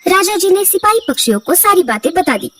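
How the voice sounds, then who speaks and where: High-pitched woman narrating a children's story. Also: big pitch swings, a little fast, fairly bright tone, no echo, recorded from a distance.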